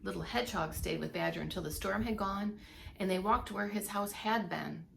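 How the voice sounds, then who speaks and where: A woman's voice: medium pitch, moderate pace, creaky, fairly bright tone, slight background noise. A woman reading a story aloud like a preschool teacher, with dramatic emphasis and asides to young listeners. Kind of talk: expressive read-aloud storytelling for young children.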